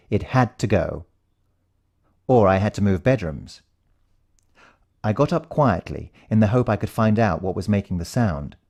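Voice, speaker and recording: Low, mellow male storyteller with wide-swinging intonation. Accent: British. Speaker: audiobook narrator. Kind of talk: first-person scripted story narration.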